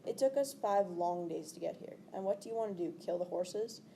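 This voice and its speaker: A woman's voice: fairly low pitch, expressive, normal speed, vocal fry, mellow, slight background noise. A woman reading the part of a bitter, sarcastic young male fugitive in a storybook. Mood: angry, sarcastic. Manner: sarcastic, accusing.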